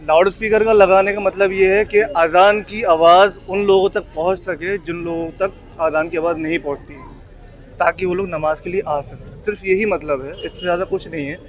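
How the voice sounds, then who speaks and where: A man's voice: high voice, steady tone, moderate pace. A man giving his opinion firmly and insistently in a street interview. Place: outdoors on a city street. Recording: outdoor street with traffic behind.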